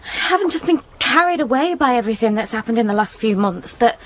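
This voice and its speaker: An actress playing a grieving young widow in a radio drama, earnest and hesitant. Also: female voice, a little high, lively intonation, fairly quick, a bit bright. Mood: hesitant, pleading. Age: young adult. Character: grieving young widow torn by new love, withdrawn. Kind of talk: naturalistic radio drama acting and first-person narration.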